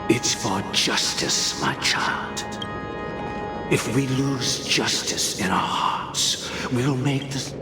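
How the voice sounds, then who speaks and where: An actor playing a solemn, calculating older master of a religious Order, speaking in a grave, paternal manner in a game. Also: male voice, medium pitch, sing-song, takes it slowly. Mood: solemn, commanding. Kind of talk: dramatic game voice acting. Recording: echoing voice under loud music.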